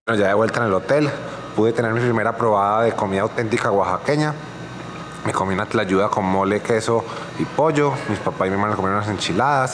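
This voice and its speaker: A young man filming himself at arm's length as a travel vlogger, talking casually and cheerfully about his trip. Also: on the low side. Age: young adult. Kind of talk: casual travel vlog to camera. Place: city streets and a bus terminal, mostly outdoors. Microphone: camera held at arm's length. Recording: loud urban street noise behind.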